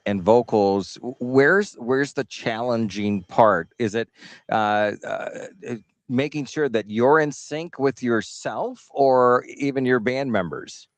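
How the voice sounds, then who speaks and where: A man's voice: mid-range voice, dry recording. A man hosting a radio interview, asking questions with many uhs and restarts. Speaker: radio host.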